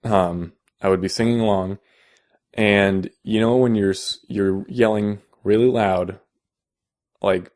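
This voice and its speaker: A young man with a deep voice, explaining casually in a how-to video, halting with many fillers and restarts. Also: fairly even intonation, darkish tone. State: out of vocal shape. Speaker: vocal tutorial maker.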